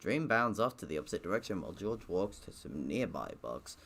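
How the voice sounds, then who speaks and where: A man's voice: low-pitched, big pitch swings, fairly quick, creaky, a bit bright. A man doing let's play commentary, reading visual novel text aloud and breaking off for sarcastic, grumbling asides. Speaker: streamer playing and reading a game aloud.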